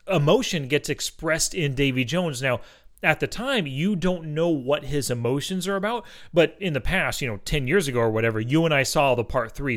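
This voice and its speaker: A man hosting a casual movie podcast, talking in a chatty, slangy, enthusiastic way. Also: on the high side. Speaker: podcast host.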